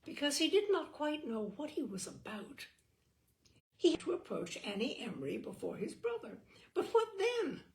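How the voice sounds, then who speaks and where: A woman reading a literary story aloud as narrator, expressively, with theatrical, deliberate phrasing and dramatic pauses. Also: high voice, very animated, vocal fry, noisy background. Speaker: audiobook reader and storyteller.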